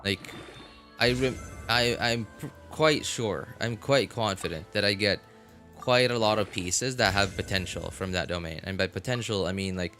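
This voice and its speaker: A man giving casual, halting gameplay commentary as a streamer, repeating himself as he thinks aloud. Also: lowish voice, pushed and bright. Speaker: game streamer. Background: game music.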